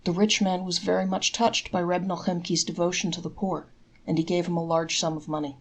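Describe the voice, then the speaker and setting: A woman narrates traditional tales, reading from a text. Her voice sits a little low for a woman, and the delivery is that of a storyteller reading aloud. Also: level tone, fairly quick. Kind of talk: scripted folktale narration, read aloud.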